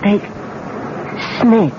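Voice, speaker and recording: An actor playing a dying, wounded royal in a 1970s radio drama, weakly forcing out halting, broken phrases. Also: male voice. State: weak, labouring to speak. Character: dying wounded man, royal, gasping last instructions. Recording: muffled, noisy 1970s radio recording.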